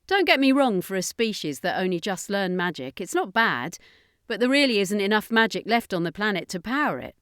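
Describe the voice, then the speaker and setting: A woman's voice: medium pitch, very animated, fairly quick, a bit bright, dry recording, clean studio sound. A woman voicing a brash, boastful alien sorceress in an audiobook adventure, brusque and sardonic. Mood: boastful, brisk. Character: brash, snappy female alien sorceress, flying and bragging.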